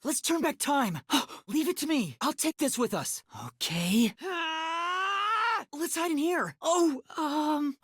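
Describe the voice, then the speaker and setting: Timid young detective boy for a game, light breathy voice, jumps around in pitch, dry close sound. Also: animated, takes it slowly, fairly bright tone, no echo, full character voice. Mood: urgent, flustered. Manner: earnest, boyish, hesitant. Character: timid, earnest, anxious young male detective. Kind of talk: English video game voice acting.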